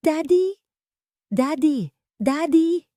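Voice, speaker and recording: A woman voicing an excited, affectionate little girl in an audiobook story. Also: high voice, drawn-out words, dry recording, clean studio sound, pitched above the performer's own voice, exaggerated voice. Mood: overjoyed. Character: excited affectionate little girls calling their father and aunt. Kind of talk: audiobook character dialogue.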